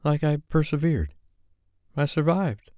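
A man voicing a gentle, tentative but resilient woman in an audiobook. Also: on the high side, animated, a little slow, dry recording, clean studio sound, voice pushed up. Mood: tentative, relieved.

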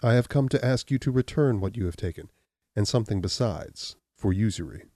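A man's voice: on the low side, expressive, brisk, mellow, no room sound. A man voicing a stern, righteous, devout man in a storybook narration, grave and challenging in manner.

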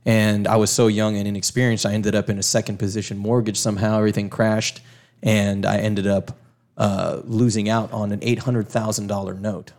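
A man's voice: fairly low pitch, little pitch movement, a little fast, a little mellow. A man talking candidly as a podcast guest, reflecting on losing money and what it taught him. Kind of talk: casual chat.

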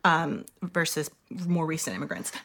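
A woman with a low voice speaks in a relaxed panel conversation. She talks about her writing thoughtfully, often pausing and reaching for the right word. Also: creaky. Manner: thoughtful, searching for words with many fillers. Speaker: playwright.

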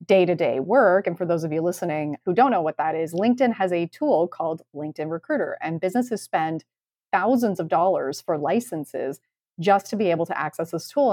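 A woman's voice: lowish voice, animated, fairly quick, mellow. A woman co-hosting a podcast in casual, informal, conversational talk with a sardonic edge. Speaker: podcast co-host and recruiter.

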